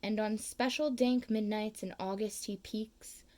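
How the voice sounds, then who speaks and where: Slow, expressive woman reading a storybook aloud. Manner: slow, expressive reading with big pitch swings on key words. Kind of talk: children's read-aloud.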